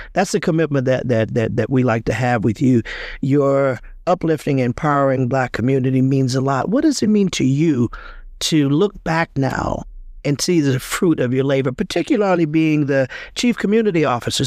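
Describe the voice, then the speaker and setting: A man's voice: medium pitch, a little mellow, dry recording, studio quality. A man hosting a conversational interview, earnest and prone to repeating words as he starts a thought. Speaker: interview host, congressman.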